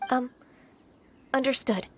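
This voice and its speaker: An actress voicing a gentle, earnest young half-immortal woman in an anime-style game, soft, polite and hesitant. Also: high voice, slow, slight background noise. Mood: hesitant, apologetic.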